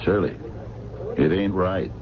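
A man's voice: sits low, slow pace, crowd noise. An actor playing a worried working-class diner man in a radio drama, insistent and colloquial, with an American accent. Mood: worried, pleading. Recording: dull, noisy old radio broadcast.

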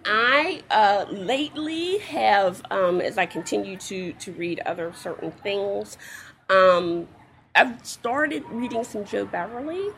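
Gravelly woman author chatting in an interview, lots of ums, faint hiss behind. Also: mid-range voice, lively intonation. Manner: halting, with many fillers. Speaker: guest author. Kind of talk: casual interview.

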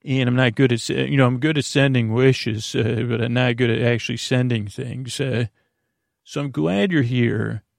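A man with a mid-pitched, noticeably creaky voice talks as a bedtime podcast host. He wanders from thought to thought, halting and doubling back on himself in a whimsical ramble. The sound is close and dry. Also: steady tone, no room sound. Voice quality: gravelly, creaky. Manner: meandering, halting, self-interrupting, whimsical.

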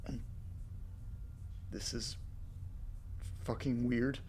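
An actor playing an anxious young man alone in a creepy house, talking haltingly to himself in a horror audio drama. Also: medium pitch, flat delivery, noisy background. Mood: baffled, alarmed. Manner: halting, muttering to himself, swearing. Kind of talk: horror audio drama, first-person monologue.

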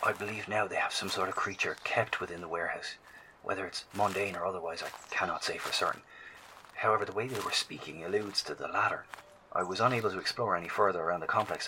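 An actor playing an anxious, scholarly survivor who broadcasts earnest, hesitant field reports in an audio drama. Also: male voice, low voice. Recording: clearly noisy field transmission.

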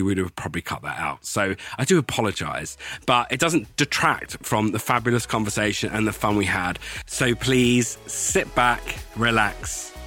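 A man's voice: fairly low pitch, no room sound. A man hosting a podcast, introducing his guest in a jokey, chatty way and talking over a video call. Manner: warm, jokey, apologetic asides. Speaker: podcast host.

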